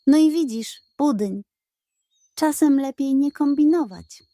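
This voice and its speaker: A woman's voice: high-pitched, animated, unhurried, dark tone, dry recording, clean studio sound, voice pushed up. A woman voicing a lazy, amused tomcat who teases wryly in a children's story. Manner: drawling, wry. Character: lazy, amused, teasing male cat.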